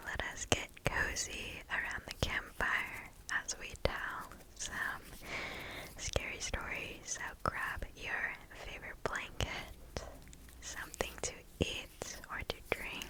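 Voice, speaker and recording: A woman speaks entirely in a soft, breathy whisper, very close to the microphone. Her delivery is slow and deliberate, with gentle phrasing suited to an ASMR reading of scary stories. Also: rough recording. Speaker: ASMR host.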